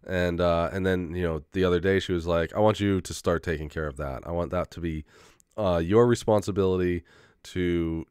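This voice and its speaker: Young man, very deep voice, smooth and resonant, rambling casual talk, lots of fillers, swears casually, getting over a cold, dry close sound. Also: darkish tone, no room sound. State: recovering from a head cold, occasional cough. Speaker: vlogger.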